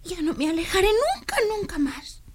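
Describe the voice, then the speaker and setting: A woman playing a curious, meddlesome little girl with a squeaky, childlike voice in a children's storybook recording. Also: big pitch swings, normal speed. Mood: contrite. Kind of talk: children's storybook audio acting.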